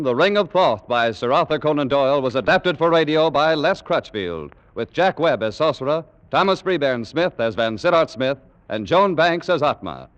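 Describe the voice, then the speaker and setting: A man announcing scripted credits in the formal, polished style of 1940s radio. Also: fairly high pitch. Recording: muffled vintage broadcast with loud music.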